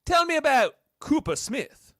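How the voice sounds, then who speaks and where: A man plays a curious starship captain in a radio play. His voice is high and very lively in pitch, self-assured, and recorded dry. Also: unhurried, no room sound, exaggerated voice. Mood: probing, wry. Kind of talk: amateur radio play acting.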